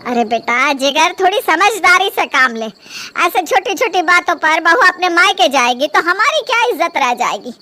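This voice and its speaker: A woman's voice: very high voice, very animated, moderate pace, pushed and bright, soft background music, voice pushed up, heavily acted. A woman voicing an older mother-in-law in a moral story, reasoning in a placating, motherly way. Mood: gently admonishing. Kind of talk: Hindi moral-story drama.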